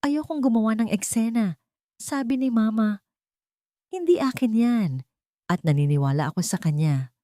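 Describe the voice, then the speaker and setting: A woman voicing a cold, weak-willed husband in a drama story, speaking quietly but firmly. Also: a little high, lively intonation, normal speed, pauses often, no room sound, studio quality, voice pushed up, lightly acted. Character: cold husband, weak-willed man rejecting his wife.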